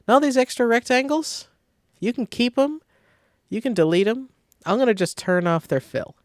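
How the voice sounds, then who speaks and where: A man giving a casual software tutorial, talking informally and thinking aloud as he works, with a creaky, gravelly voice. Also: very high-pitched, expressive, normal speed, no room sound, studio quality. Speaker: design software tutorial host. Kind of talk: casual screen-recorded tutorial.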